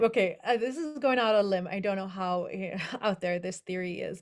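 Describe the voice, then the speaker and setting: A young adult woman speaks in a relaxed interview, feeling her way through her answers. She hesitates often and restarts her sentences, sounding reflective rather than polished. Her voice sits at an everyday middle pitch. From Netherlands. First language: English as first language, also Hebrew and Dutch. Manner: thoughtful, hesitant, many fillers and restarts. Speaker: author. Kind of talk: casual interview conversation.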